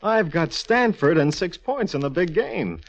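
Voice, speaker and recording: A man's voice: a little high, expressive, unhurried. An American actor playing a folksy, mildly exasperated male police lieutenant in a 1940s radio drama. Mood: dry banter. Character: gruff, good-natured male police lieutenant. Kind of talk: 1940s radio detective acting. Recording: muffled vintage 1940s radio recording.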